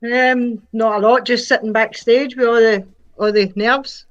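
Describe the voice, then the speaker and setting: A woman's voice: a little high, fairly even intonation, unhurried, muffled recording. A woman with a Glaswegian and Galloway Irish accent, a community radio presenter talking candidly as a live interview guest. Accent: Glaswegian and Galloway Irish mix. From Scotland. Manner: candid, self-critical, uses "aye".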